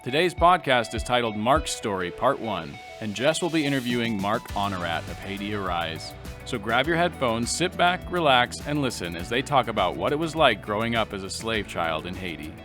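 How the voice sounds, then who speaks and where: A man reading the podcast's scripted introduction in a lively, inviting way. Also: on the low side, moderate pace, over music. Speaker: podcast announcer. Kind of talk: scripted intro over music.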